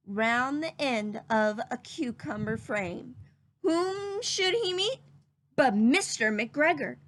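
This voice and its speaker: A woman reading a children's story aloud in an instructive, teacherly manner, pausing to put questions to young listeners. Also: a little high, fairly bright tone. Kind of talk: children's read-aloud narration.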